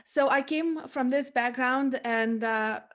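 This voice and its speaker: A young woman with a fairly high voice and a Lithuanian accent hosts a podcast interview. She talks in an earnest, conversational way, explaining things at length. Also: slow, a bit bright.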